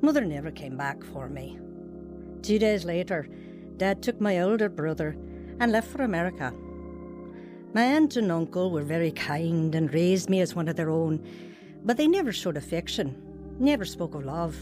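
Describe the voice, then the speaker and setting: A woman's voice: lowish voice, animated, normal speed, over music, lightly acted. An actress playing an earnest, wistful woman telling of her lonely youth in a radio drama. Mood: wistful, reflective. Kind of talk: first-person radio drama narration and dialogue.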